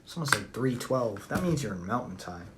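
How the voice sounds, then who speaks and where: A man's voice: mid-range voice, very animated, moderate pace, very noisy. A young man with a Southern accent on a phone livestream at home, talking bluntly and profanely in a rambling way while answering chat. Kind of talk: casual live-stream chat reading comments.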